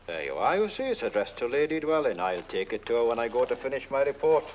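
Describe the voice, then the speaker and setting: Man acting bumbling British police constable, polite, hesitant, animated pitch, comic film, dull hissy soundtrack. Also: fast talker, lightly acted. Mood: chatty. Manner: hesitant, rambling, throat-clearing, polite. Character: bumbling, deferential village police constable, tactlessly chatty. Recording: dull old film soundtrack, faint hiss.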